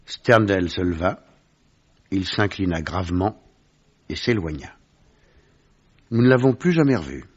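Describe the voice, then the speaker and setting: A man playing a gentleman narrator recalling an adventure, with measured, formal storytelling in a French radio drama. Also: deep voice, animated, husky, full character voice. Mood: reflective. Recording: dull, lo-fi old radio recording.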